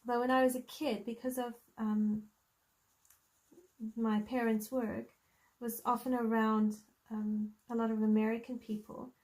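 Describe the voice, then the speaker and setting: Chatty South African woman, slightly high. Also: little pitch movement, measured pace, a little mellow, poor audio. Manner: chatty, friendly, thinks aloud with many ums. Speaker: coloring channel host. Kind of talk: casual live-stream chat while coloring.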